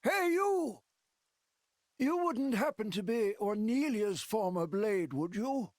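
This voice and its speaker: An excitable old village man, very high in pitch and swooping widely as he talks. He is folksy and effusive, a nostalgic video game character who stretches out his exclamations. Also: slow, no echo, studio quality, exaggerated voice. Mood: excited recognition. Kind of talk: video game cutscene acting.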